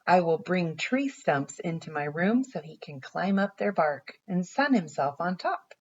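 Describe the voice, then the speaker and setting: A woman's voice: fairly low pitch, sing-song, measured pace. Woman reading as an eager young boy, slightly muffled. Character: young boy, eager, imaginative, earnest about his plans. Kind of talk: bedtime story read aloud.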